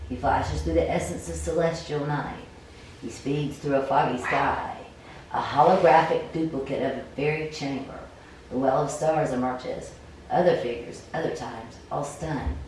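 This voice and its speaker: A woman cold-reading fantasy narration from a story game, a little halting as she goes. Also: low-pitched, darkish tone. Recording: very echoey room, distant mic, faint noise.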